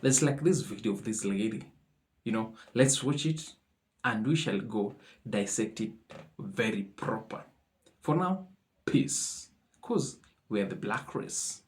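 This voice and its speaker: A man hosting a commentary video, introducing clips in a halting way with frequent pauses. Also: lowish voice, lively intonation. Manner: halting, many uh fillers. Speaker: video host.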